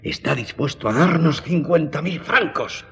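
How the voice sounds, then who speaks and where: A man's voice: a little high, expressive, normal speed, fairly bright tone, slight background noise. A man acting in a radio drama as a robust, eager innkeeper who is greedy for money. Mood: excited, welcoming.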